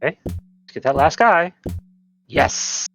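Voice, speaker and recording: A man's voice: medium pitch, wildly swooping pitch, takes it slowly, fairly bright tone, dull audio, faint music behind. A man giving informal live commentary as a speedrunner, often talking to the game while he plays.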